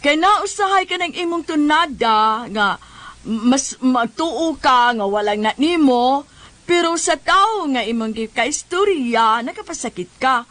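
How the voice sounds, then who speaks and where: High-voiced Cebuano actress as a scolding, exasperated woman. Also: lively intonation, a bit bright, no room sound. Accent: Cebuano Filipino. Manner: scolding, exclamatory, drawn-out emphatic words. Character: exasperated scolding woman nagging a hot-headed man. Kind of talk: Bisaya comedy radio drama acting.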